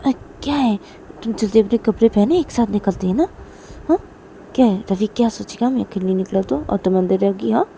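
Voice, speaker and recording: A woman's voice: mid-range voice, a little fast, creaky, mellow, slight background noise, lightly acted. A woman voicing a sheepish young husband who coaxes and defends himself. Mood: coaxing. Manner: coaxing, defensive.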